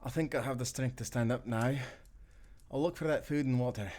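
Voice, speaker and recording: A man's voice: medium pitch, brisk, a little mellow, natural voice. Earnest Scottish sailor castaway acted by a man. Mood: weary, thoughtful. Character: wounded, loyal young sailor castaway, tender and brave. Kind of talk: cinematic pirate audio roleplay acting.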